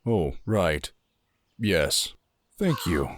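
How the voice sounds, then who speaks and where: Deep-voiced male pilgrim druid, played by an actor, earnest and thoughtful, fantasy audio drama, dry recording. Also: very animated, drawn-out words, no room sound, heavily acted. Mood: attentive. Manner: thoughtful, formal.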